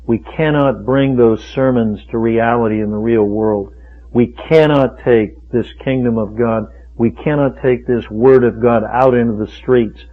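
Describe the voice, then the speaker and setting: Fiery preacher on a tinny radio line. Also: male voice, medium pitch, steady tone, unhurried. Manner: emphatic, rhetorical, repeats phrases for force. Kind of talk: fiery sermon. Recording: tinny phone-like radio recording.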